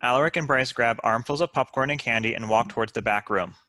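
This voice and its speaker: A man's voice: medium pitch, quick pace, bright, forceful tone, dry recording. A man reading stage directions as scripted narration for a table read.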